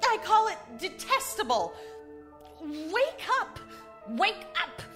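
A woman's voice: very high-pitched, very animated, slow pace, a bit bright, faint music behind, heavily acted. A woman playing a haughty, jealous young wife in a theatrical reading of a period comic ghost story. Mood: indignant. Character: indignant jealous young wife, melodramatic narrator of a ghost comedy.

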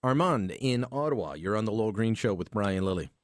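A man running a call-in talk radio show, his voice sitting on the lower side. He talks in a relaxed, chatty way and laughs easily. The studio sound is close and dry. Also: brisk, no echo.

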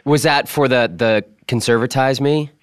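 A man's voice: medium pitch, moderate pace, clean tone, dry recording, clean studio sound. A man from Massachusetts hosting a casual interview, asking informal, rambling questions that he keeps reshaping as he goes. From Massachusetts, United States. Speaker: podcast host, interviewer.

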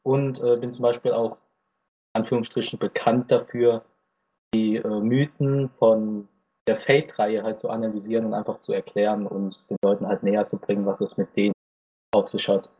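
A man's voice: on the low side, level tone, normal speed, warm tone, husky, lo-fi sound. A nineteen-year-old man from Thailand, a podcast guest chatting casually and hesitantly, with plenty of fillers. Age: teens. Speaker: podcast guest, anime YouTuber.

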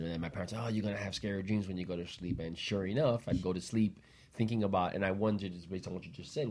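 Low-voiced man hosting a casual talk. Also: darkish tone, slight background noise. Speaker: talk show host. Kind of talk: casual conversational questioning.

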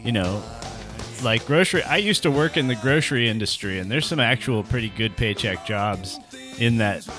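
A man's voice: mid-range voice, animated, moderate pace, over music. A man co-hosting a podcast, chatting casually in a rambling, conversational way. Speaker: podcast co-host. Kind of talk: casual chat.

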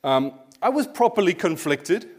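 A man's voice: high voice, very animated, moderate pace. South African man preaching in a chatty tone. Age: 40s. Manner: conversational storytelling with asides and self-deprecating humour. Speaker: pastor. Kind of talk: sermon.

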